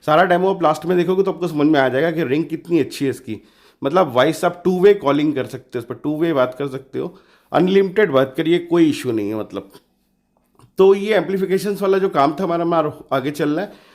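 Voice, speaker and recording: Slightly hoarse man explaining hands-on steps. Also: on the high side, brisk. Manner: informal, explanatory, often adds reassuring check-ins. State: slightly hoarse from a sore throat. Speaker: DIY electronics tutorial host. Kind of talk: casual step-by-step tutorial narration.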